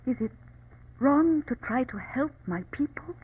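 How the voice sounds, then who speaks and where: Imperious rebel lady: high, husky, grandly dramatic, on a muffled vintage radio recording. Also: expressive, measured pace, full character voice. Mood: impassioned, indignant. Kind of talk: old-time radio drama acting.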